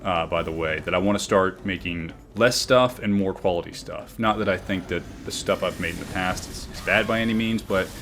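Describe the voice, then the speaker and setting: A man with a booming voice talking earnestly about his gaming channel, often reflective, with frequent fillers and restarts. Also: a little fast, few pauses, fairly bright tone, slight background noise. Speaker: gaming YouTuber. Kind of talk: game commentary and channel talk.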